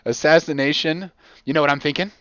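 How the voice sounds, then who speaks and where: Guy hosting a podcast, highish voice, dry lo-fi sound, rambles, swears a bit, does quotes mid story. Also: brisk, dry recording. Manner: animated storyteller who acts out imagined quotes, swears casually. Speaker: podcast host. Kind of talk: casual rambling podcast chat.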